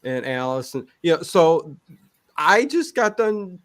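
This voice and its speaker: A man's voice: a little high, very animated, takes it slowly. A middle-aged man chatting casually as an interview guest, talking emphatically and repeating words to stress his points. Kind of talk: casual chat.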